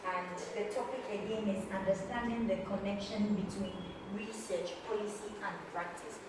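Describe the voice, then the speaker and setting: A woman's voice: mid-range voice, steady tone, normal speed. A woman moderating a casual science cafe, friendly and laughing between remarks, in a room with a seated group. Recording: echoey room, distant mic, background noise.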